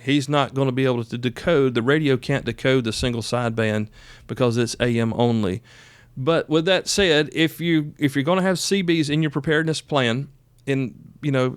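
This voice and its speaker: A man's voice: mid-range voice, smooth, dry recording. A man hosting a podcast, explaining things in a conversational, folksy way as if chatting with the guys listening. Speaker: podcast host. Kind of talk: casual instructional podcast monologue.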